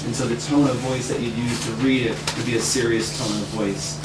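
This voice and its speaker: A man leading a group, giving informal, directive instructions and repeating himself to be clear. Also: medium pitch, steady tone, a little fast. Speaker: reading group leader, teacher. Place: indoor room with a group. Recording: echoey room, far mic, noisy hum.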